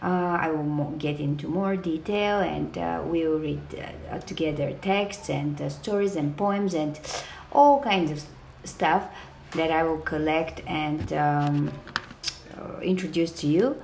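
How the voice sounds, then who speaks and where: Bulgarian woman, 30s, podcast host; slow, gravelly, lowish voice, earnest and halting. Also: a little mellow, slight background noise. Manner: hesitant, pauses often with fillers and restarts; earnest and enthusiastic. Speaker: Chinese language podcast host. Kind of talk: self-introduction to listeners.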